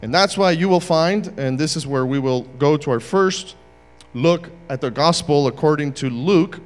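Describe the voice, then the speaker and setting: A man's voice: on the high side, normal speed, big-room sound, faint music behind. A man from Uruguay preaching a sermon as a guest speaker, joking casually at first and then building to emphatic points. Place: church sanctuary.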